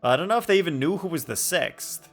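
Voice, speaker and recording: A man's voice: on the high side, animated, quick pace, a bit bright. A man livestreaming a game with casual, rambling, playful commentary, often asking incredulous questions as he chats with viewers. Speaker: game streamer. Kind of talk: casual live gameplay commentary, chatting with viewers. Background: faint game music.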